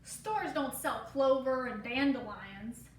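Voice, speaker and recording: A woman's voice: sits high, expressive, slow pace. A woman voicing a blunt male store clerk from a storybook, emphatic and matter-of-fact. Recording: roomy echo, distant mic, faint noise.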